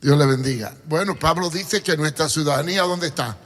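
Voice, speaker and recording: A man from Cuba preaching a sermon in a deliberate, emphatic way, with repetition and rhetorical questions. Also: on the high side, fairly bright tone. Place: large church hall. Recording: long hall reverb.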